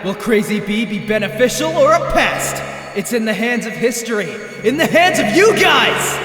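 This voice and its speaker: A man's voice: extremely high pitch, sing-song, moderate pace. A man playing a brash, theatrical young male idol ringleader who taunts and declaims in anime dub style. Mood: rabble-rousing. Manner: bombastic, taunting, showy. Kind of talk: anime fandub acting, stage-speech declamation. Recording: echoey long reverb, loud music behind.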